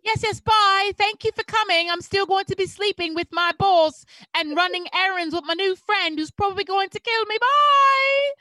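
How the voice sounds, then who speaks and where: A woman's voice: very high voice, normal speed, hardly any pauses, pushed and bright, dry recording, pitched above the performer's own voice. A woman playing a gushing, shameless, lovestruck woman with singsong mockery, in a comic amateur script reading.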